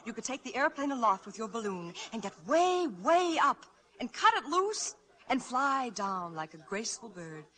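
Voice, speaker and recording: A woman's voice: fairly high pitch, very animated, normal speed, sharp tone. An actress playing a spirited, coaxing young lady, earnest and slightly arch, in 1940s radio drama style.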